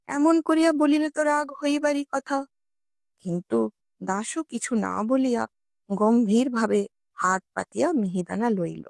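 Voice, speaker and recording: A woman narrating a scripted story expressively, in a measured storytelling manner with formal literary diction. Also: fairly high pitch, gravelly, dry recording. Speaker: audiobook narrator.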